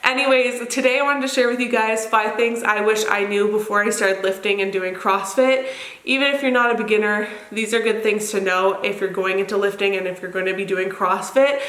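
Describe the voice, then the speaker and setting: A woman with a mid-pitched voice that sounds crackly and stuffed up, clearly nasal from congestion. She talks informally as a fitness vlogger, sharing advice and stressing points by repeating words. The room adds a noticeable echo around her. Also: steady tone, a little fast, a bit bright. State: congested, recovering from illness. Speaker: fitness vlogger and channel host. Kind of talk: casual advice talk to camera. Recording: roomy echo on the voice.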